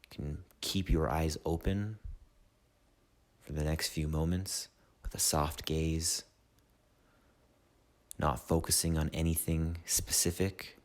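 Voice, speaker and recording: A man leading a guided meditation in a soothing, measured way, with long pauses between short phrases. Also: rumbling low, steady tone. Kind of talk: slow guided meditation narration.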